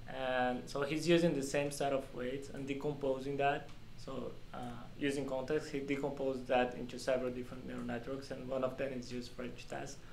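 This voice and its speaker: A man with a mid-pitched voice presents informally to a group. He explains technical material in an echoey meeting room. The mic is some distance away and there is faint noise. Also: level tone, a little fast, gravelly, few pauses, a little mellow. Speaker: meetup presenter. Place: small glass-walled meeting room.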